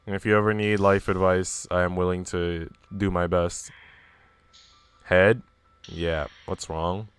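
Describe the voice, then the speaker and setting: A man's voice: low voice, level tone, a little slow, smooth. A man live streaming in an informal, slangy way, moving between casual chat and reading aloud.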